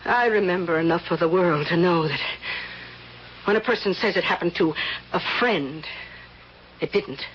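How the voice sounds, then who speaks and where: A woman's voice: on the low side, moderate pace, lightly acted. An actress playing a domineering, prying, spiteful older rich woman in a radio drama, with an imperious, needling manner. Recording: muffled, hissy old radio drama recording.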